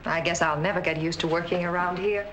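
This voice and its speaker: An actress playing a young clinic nurse in a 1940s film, speaking softly and earnestly. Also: female voice, fairly low pitch, steady tone, fast, close to an everyday voice, low-quality recording. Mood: affectionate. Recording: faint hiss on old film soundtrack.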